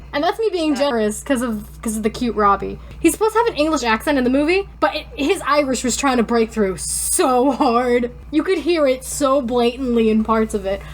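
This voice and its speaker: High voiced girl podcast cohost, chatty and giggly, just rambling casually. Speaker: podcast co-host. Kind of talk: casual banter.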